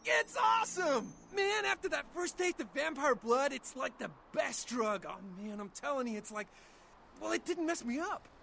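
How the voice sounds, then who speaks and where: An actor playing a starstruck young vampire's servant in a video game, gushing and rambling in slangy American English. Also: male voice, very high voice, lively intonation, normal speed, gravelly, pushed and bright, a little hiss or hum, exaggerated voice. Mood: awed, gushing. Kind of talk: video game dialogue acting.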